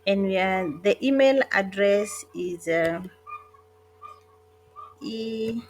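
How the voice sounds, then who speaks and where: A woman narrating an online job application walkthrough in a casual, hesitant way, with frequent pauses and self-corrections. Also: mid-range voice, animated, slight background noise. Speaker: online job tutorial creator. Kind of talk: casual screen-recorded walkthrough.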